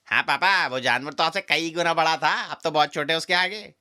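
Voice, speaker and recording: A man voicing a blunt, excitable, wide-eyed little frog child in a children's animated fable. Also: on the high side, normal speed, clean tone, voice pushed up. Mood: amazed.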